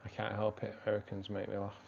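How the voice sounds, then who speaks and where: A man's voice: on the low side, completely flat delivery, fast talker, gravelly, darkish tone, muffled recording, slight background noise. A man hosting a live stream from a room with fish tanks, chatting casually and rambling, often repeating himself.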